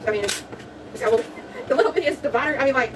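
A woman's voice: high-pitched, racing. A young woman with a South Louisiana accent chatting casually through a haul video at home, rambling with lots of ums. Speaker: vlogger. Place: indoors at home, a small room with a table. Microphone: phone camera set back on the table. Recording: distant phone mic, faint room noise.

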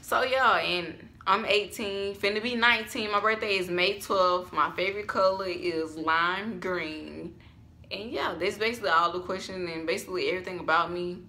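A woman's voice: on the low side, normal speed, pushed and bright. An eighteen-year-old woman, chatting upbeat and casually as a vlogger. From Alabama, US. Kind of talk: casual chat. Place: small room at home.

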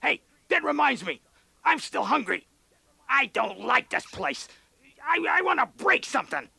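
A man voicing a hungry, slow-witted brute in a radio comedy sketch, raspy and creaky, talking in blunt, childlike sentences. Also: extremely high pitch, sing-song, bright, forceful tone, dry recording, full character voice. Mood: hungry, restless.

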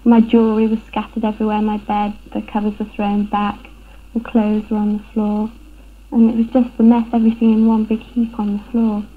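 Young woman, kinda flat and hesitant, telling her story, thin phone-like old film audio. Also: medium pitch, a little fast. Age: young adult. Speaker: interviewee, burglary victim. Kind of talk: personal account in a documentary interview. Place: room at home, indoors. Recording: tinny, phone-like 1970s film sound.

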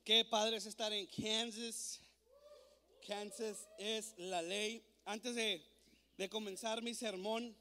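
High, playful youth preacher. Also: male voice, bright, forceful tone. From born in Mexico, raised in Texas. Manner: playful, joking, coaxing the crowd. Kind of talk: lively youth sermon. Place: large church auditorium with stage. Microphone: handheld mic. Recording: echoey hall recording.

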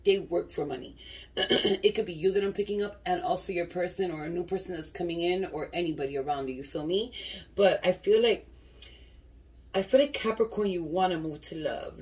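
A woman's voice: lowish voice, a little mellow, far from the mic. A woman giving a casual tarot reading. She sounds congested from a cold and talks loosely, swearing and often asking "you feel me?" She is recorded in a dim candlelit room. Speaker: tarot reader.

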